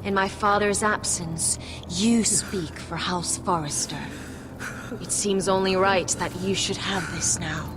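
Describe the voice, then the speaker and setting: An actress playing a commanding woman leader of a forest stronghold, calm and persuasive as she urges. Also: mid-range voice, unhurried, natural voice. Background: music.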